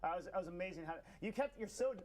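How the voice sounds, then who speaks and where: A young man with a moderately high voice chats casually like a livestreamer. His delivery is excitable and halting, circling back on words, and video game gunfire is clearly audible behind him. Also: slight background noise. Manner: excitable, halting, repeats himself. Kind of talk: casual chat on a game stream.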